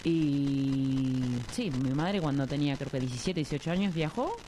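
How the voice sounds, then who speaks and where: A woman's voice: a bass voice, normal speed, slight background noise. A young woman with an Argentine accent, a radio guest telling her story casually, with many fillers and frequent laughs. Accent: Argentine Spanish. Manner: relaxed, hesitant, laughs often, many fillers.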